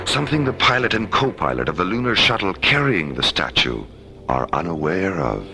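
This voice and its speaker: A man's voice: low-pitched, sing-song, normal speed, very noisy. A man narrating a science fiction radio drama in a dramatic, ominous and portentous manner. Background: loud dramatic music.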